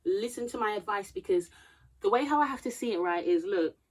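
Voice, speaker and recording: A woman's voice: medium pitch, lively intonation, fairly quick. A woman in her thirties giving earnest, preachy advice to camera. She checks her notes now and then and grows emphatic as she talks. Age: 30s. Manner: reading from notes. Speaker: vlogger. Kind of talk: casual advice talk to camera.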